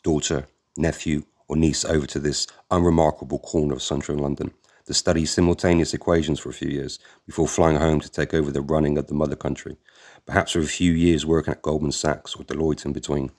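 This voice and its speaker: A man narrating an audiobook in an extremely deep, low voice. He reads a first-person memoir at a steady, measured pace, as if telling his own story.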